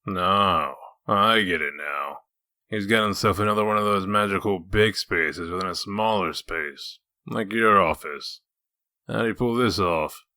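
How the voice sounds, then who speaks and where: A gruff comic-dub detective acted by a man, with a very low voice and a forceful edge. He is blunt, confrontational and sardonic, a wolf in human shape. The sound is dry and close. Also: a little slow, no echo. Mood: wry.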